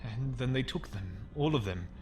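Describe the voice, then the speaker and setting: A man playing a nervous, apologetic, cowardly freighter crewman in a sci-fi audio comic, hesitant and halting. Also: mid-range voice, expressive, close to an everyday voice. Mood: frightened, ashamed. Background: music.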